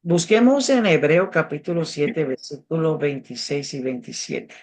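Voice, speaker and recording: A man's voice: a little high, moderate pace, vocal fry, background noise. A man whose first language is English, teaching a Bible class in Spanish learned with a Cuban accent. He talks earnestly and repeats words while he searches for them. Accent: English-speaking, Cuban-learned Spanish. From United States. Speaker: theology teacher. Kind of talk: interactive online Bible class.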